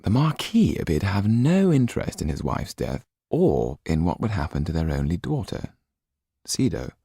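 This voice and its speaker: Man acting a very deep-voiced, courteous gentleman. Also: huge pitch swings, dry recording, clean studio sound, heavily acted. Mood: confiding, helpful. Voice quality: very deep, husky. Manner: genteel, measured, confiding. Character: courteous, thoughtful gentleman, a concerned and helpful friend. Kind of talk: audiobook character acting.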